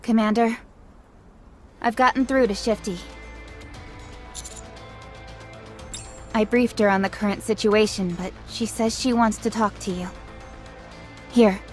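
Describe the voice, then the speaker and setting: A woman playing a composed, protective female squad leader in a game, speaking in a measured, authoritative way. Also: mid-range voice, steady tone, soft background music, close to an everyday voice. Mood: calm, steady. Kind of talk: dubbed game cutscene voice acting.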